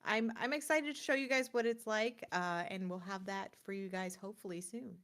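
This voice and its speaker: A woman's voice: mid-range voice, moderate pace, a bit bright. A woman co-hosting a gaming podcast, chatting casually and informally as she presents game picks in a rambling way.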